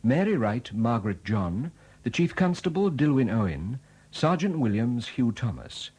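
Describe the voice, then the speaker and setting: A man's voice: medium pitch, big pitch swings, normal speed, darkish tone, husky. A man announcing on 1970s British radio in a formal, scripted credit-reading style. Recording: dated 1970s radio broadcast recording.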